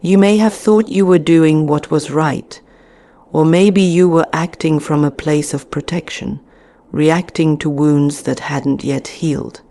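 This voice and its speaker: A woman narrating a scripted guided meditation in a deliberate, soothing manner with frequent pauses. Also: deep voice, fairly even intonation, warm tone, dry recording.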